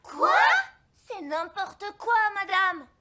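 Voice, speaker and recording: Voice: extremely high pitch, expressive, takes it slowly, no room sound, heavily acted. A performer voicing an eager, outspoken young fairy princess girl in a children's cartoon. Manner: bright-eyed, outspoken, childlike. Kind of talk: animated children's cartoon acting. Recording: loud background music and jingles.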